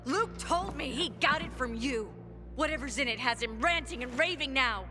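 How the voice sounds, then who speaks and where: A woman's voice: high-pitched, lively intonation, moderate pace, pushed and bright, soft background music. An actress playing a fierce, accusing, angry woman survivor in a video game.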